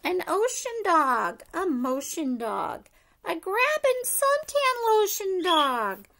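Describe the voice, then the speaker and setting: A middle-aged woman reads a rhyming picture book aloud to young children in an expressive sing-song way, pausing to ask them questions. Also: very high-pitched. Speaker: preschool story-time teacher reading aloud. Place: living room at home, indoors.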